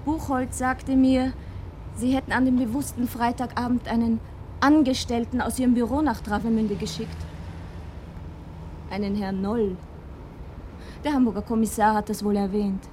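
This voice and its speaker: An actress as a composed female witness in a vintage German radio crime play. Her voice sits fairly high and she phrases things deliberately, quietly pressing her point. There is clear noise behind her. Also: fairly even intonation, lightly acted. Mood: brisk, conversational. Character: composed, probing female witness, coolly insinuating. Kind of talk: 1970s German radio crime drama acting.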